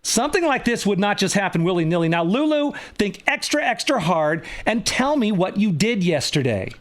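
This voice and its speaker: A man voicing a brisk, bossy woman school nurse in a children's storybook, emphatic and knowing. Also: high voice, very animated. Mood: probing.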